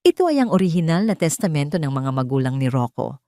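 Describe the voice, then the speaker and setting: Woman as a grave male family lawyer, low voice. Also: big pitch swings, fast, mellow, no echo, clean studio sound. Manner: grave, formal. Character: serious male family lawyer bearing heavy news. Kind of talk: audio drama storytelling.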